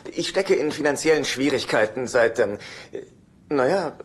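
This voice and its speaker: An actor playing a nervous, needy, penny-pinching brother in a dubbed sitcom, with a flustered, pleading delivery. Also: male voice, medium pitch, animated, moderate pace, close to an everyday voice. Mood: earnest pleading.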